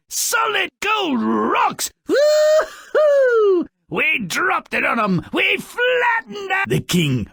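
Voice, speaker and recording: An actor playing a small, malicious, sardonic storybook grouch in a video game, raspy and gravelly, narrating dark fairy tales with theatrical, sneering mockery. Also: male voice, high voice, no room sound, studio quality, full character voice. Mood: wicked glee, then impatient scorn. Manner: theatrical, sneering, mocking, ornate vocabulary.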